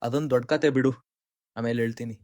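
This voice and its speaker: A man's voice: medium pitch, steady tone, fast, a little mellow, no room sound, studio quality, lightly acted. A man with an Indian accent playing an earnest, dejected young employee, apologetic and hesitant, in short-film drama dialogue. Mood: weary, placating.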